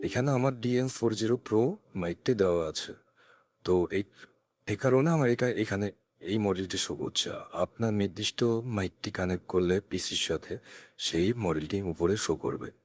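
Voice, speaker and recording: A man with a deep voice presents a software tutorial, explaining each step carefully and instructionally. His voice is captured close on a condenser microphone, with a very dry sound and little room in it. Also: no echo. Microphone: close USB condenser microphone.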